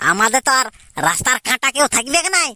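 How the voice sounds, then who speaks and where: A man acts out a young secret lover in a homemade cartoon. The voice is very high, bright and dry, with a Purulia Bengali accent. He comes across as cocky and insistently plotting. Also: animated, quick pace, no room sound, full character voice. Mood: cocky, eager. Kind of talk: amateur cartoon dialogue.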